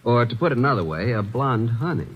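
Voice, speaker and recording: A man's voice: mid-range voice, lively intonation, fast talker, highs cut off, lightly acted. An actor playing a wisecracking male detective's assistant in old-time radio acting, with wry, hard-boiled narration and quips.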